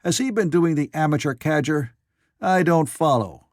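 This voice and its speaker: A man voicing a joyous, irreverent young journalist in an audiobook, mocking and loud. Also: fairly high pitch, animated, moderate pace, no room sound, studio quality, voice pushed up, close to an everyday voice. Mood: flippant. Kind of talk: audiobook character voice.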